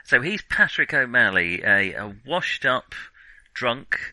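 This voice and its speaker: Very bright, lively-voiced man talking films. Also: medium pitch, slow, no echo, low-quality recording. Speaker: film discussion podcast host. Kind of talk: casual film discussion.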